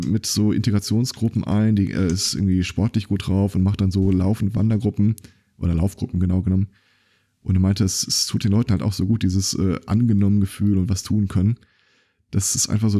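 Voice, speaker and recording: Low, mellow man chatting on a podcast. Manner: rambling storyteller, many fillers and restarts. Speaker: podcast host. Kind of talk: casual podcast chat.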